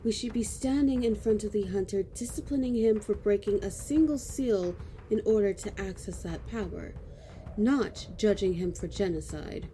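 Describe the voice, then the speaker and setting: Actress as cold fantasy judge, very dark mellow timbre, mid pitch, stern, formal, faint noise. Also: female voice, moderate pace, natural voice. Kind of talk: fantasy audio drama acting.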